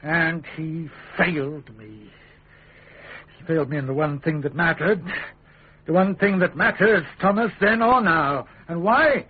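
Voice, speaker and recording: Radio drama king, young, English, self-righteous and volatile. Very husky voice, theatrical delivery, narrow-band broadcast sound. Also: fairly high pitch, unhurried. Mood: indignant, brooding. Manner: theatrical, abrupt swings between bluster and hush. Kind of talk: classic British radio drama acting.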